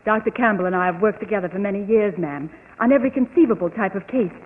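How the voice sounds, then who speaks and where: An actress as a brisk, dutiful nurse. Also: female voice, mid-range voice, slight background noise, natural voice. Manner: brisk, crisp, businesslike. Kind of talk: 1940s radio acting. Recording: tinny old radio broadcast.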